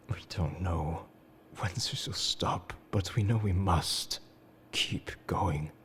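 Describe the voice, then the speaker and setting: A man playing a suave, enigmatic engineer-agent in an intimate roleplay audio, breathy and halting as he confides old wounds with tender devotion. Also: medium pitch, exaggerated voice. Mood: weary, resolute. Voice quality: breathy, husky, slipping into whispers. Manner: measured, confessional, halting. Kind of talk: intimate scripted roleplay audio addressed to the listener.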